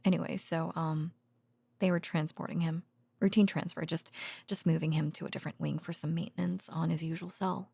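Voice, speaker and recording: A woman playing a gentle researcher girlfriend in an ASMR bedtime roleplay, murmuring haltingly in a soft, breathy voice that often drops to a whisper. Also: low voice, fairly even intonation, heavily acted. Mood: casual, murmured. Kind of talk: intimate ASMR audio roleplay, conversational bedtime monologue.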